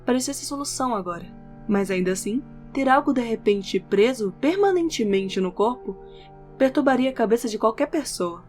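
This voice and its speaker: A woman's voice: on the high side, animated, moderate pace, darkish tone. A woman reading scripted narration for an audio novel as its narrator. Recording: background music under the voice. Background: instrumental music with guitar.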